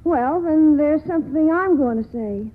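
A woman's voice: high-pitched, lively intonation, a little slow. An actress playing a tipsy, defiant young woman in love, in theatrical, insistent 1940s radio style. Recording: narrow, tinny old radio broadcast.

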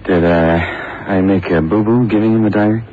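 An actor playing an earnest, analytical investigator's sidekick in a radio crime drama, with hesitant, dramatic emphasis. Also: male voice, sits low, normal speed, close to an everyday voice. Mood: tentative. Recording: muffled, noisy vintage radio recording.

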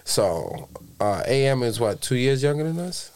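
A man in his thirties from the Bronx with a raspy voice, telling a story as a podcast guest in loose street slang. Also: mid-range voice, very animated, moderate pace, darkish tone. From the Bronx, New York. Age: 30s. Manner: loose street slang, piles up vivid details. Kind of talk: casual storytelling chat.

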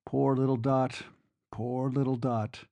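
Man playing a weary young soldier, creaky voice. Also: medium pitch, little pitch movement, slow, warm tone, dry recording. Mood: weary, pitying. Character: disillusioned young soldier, weary, self-absorbed, sentimental lover.

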